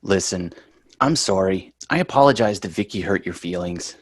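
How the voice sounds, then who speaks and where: Man playing a sheepish, husky sitcom schoolboy. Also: sits low, expressive, moderate pace, no echo, clean studio sound. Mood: apologetic, reluctant. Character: sheepish, apologetic sitcom schoolboy, smooth-talking son. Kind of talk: amateur table read of a TV sitcom.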